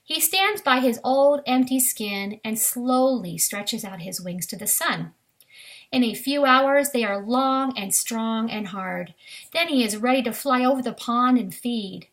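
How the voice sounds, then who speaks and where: Higher-pitched woman reading a children's nature book. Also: unhurried, a bit bright. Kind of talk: read-aloud of a children's science book with brief asides.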